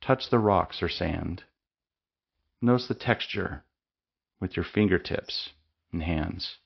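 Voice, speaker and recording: A man leading a guided mindfulness meditation with slow, calm, soothing instructions and long pauses. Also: low-pitched, creaky, breathy edge, muffled recording.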